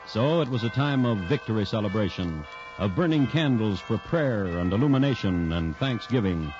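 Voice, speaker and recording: A man hosting and narrating a 1950s true-crime radio show in a formal, measured way with dramatic pauses. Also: lowish voice, lively intonation, music in the background. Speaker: host and narrator. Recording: muffled 1950s radio broadcast.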